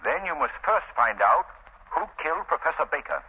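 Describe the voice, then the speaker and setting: A man's voice: on the high side, sing-song, brisk, husky. A man playing a suave, persistent amateur detective with an urbane, wry manner in a 1930s radio drama. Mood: insistent. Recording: dull, lo-fi vintage 1930s radio broadcast.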